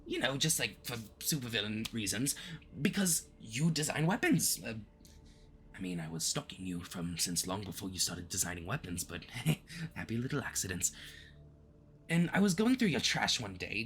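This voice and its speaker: A man's voice: mid-range voice, fairly bright tone, a little hiss or hum. A man playing a smug but easily flustered, obsessive supervillain in a flirty, theatrical roleplay addressed to the listener, with awkward stammering slips. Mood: admiring, flirty.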